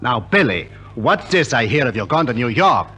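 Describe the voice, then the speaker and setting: An actor playing a practical, doubtful middle-aged father with a rough-edged voice in a 1950s radio drama. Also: medium pitch, sing-song, normal speed, sharp tone, heavily acted. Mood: doubtful, persuading. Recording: old 1950s radio recording.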